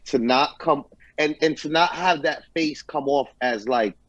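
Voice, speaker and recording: A man hosting a podcast interview, talking in a relaxed, slangy, casual way. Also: on the high side, a little slow, dry recording. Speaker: podcast host. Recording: dull, muffled dry audio.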